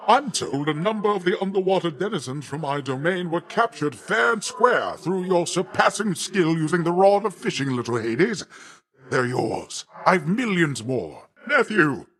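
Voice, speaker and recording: A mighty, self-important god of the sea for a video game. His voice sits somewhat high for a male, and he speaks with hearty, pompous theatricality, like a boastful uncle addressing his nephew with grand formality and a short temper. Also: fairly bright tone. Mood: pleased, grand.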